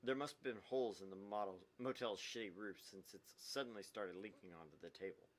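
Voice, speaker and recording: A man's voice: fairly low pitch, fairly quick, natural voice. A man voicing an anguished, obsessive, vindictive young man in a dramatic read-aloud of a visual novel.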